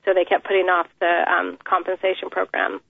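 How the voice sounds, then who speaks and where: This woman speaks earnestly and steadily, pausing often with "um" as she tells her story. Her voice comes over a thin, tinny phone line. The sound is dry, with no room echo. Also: lowish voice, monotone, gravelly. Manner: earnest, measured, many "um" pauses. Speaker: interview guest, mother. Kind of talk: phone interview, personal account.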